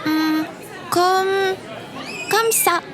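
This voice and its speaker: A woman's voice: very high-pitched, drawn-out words, crowd noise, full character voice. An actress in an audio drama playing a tiny, shy three-year-old girl with a hesitant, childlike way of talking. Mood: shy, sweet.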